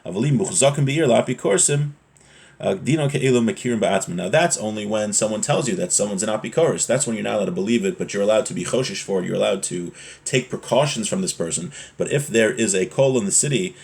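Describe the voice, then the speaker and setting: A man with a fairly low voice teaches an informal religious class. He reads short passages and then explains them point by point, now and then checking in with a brief rhetorical question. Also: expressive, quick pace, gravelly, a little mellow. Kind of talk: informal Torah lesson, reading and explaining a text.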